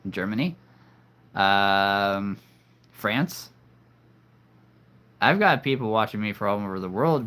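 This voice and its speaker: A man with a fairly low but bright, forward voice hosts a live stream. He talks in a loose, rambling way and keeps slipping in corny puns. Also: clean tone. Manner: rambling, jokey, punning. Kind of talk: casual live-stream chat.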